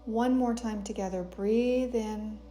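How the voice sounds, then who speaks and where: Woman doing guided yoga nidra, really slow n soothing, soft dark voice, bit of music behind. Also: on the high side, steady tone, slight background noise. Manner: soothing, gentle, unhurried instruction. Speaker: yoga teacher. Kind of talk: guided meditation narration.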